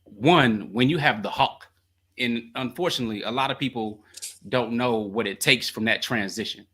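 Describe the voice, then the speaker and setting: A man hosting a call-in advice show as a coach, talking in an animated, slangy storytelling way. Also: medium pitch, normal speed.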